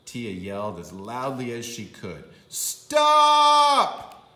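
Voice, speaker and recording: A man reads a children's story aloud in a deliberate, emphatic way. His pace is slow and his pitch moves in big swings. The room is noticeably echoey. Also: sing-song, fairly bright tone. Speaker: kindergarten teacher. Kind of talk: expressive storybook read-aloud for young children.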